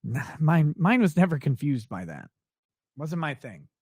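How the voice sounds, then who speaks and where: Male livestream host, fairly high voice, blunt and crude, casual question-and-answer chat, close dry sound. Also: very animated, measured pace, darkish tone, no echo. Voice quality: voice not deep.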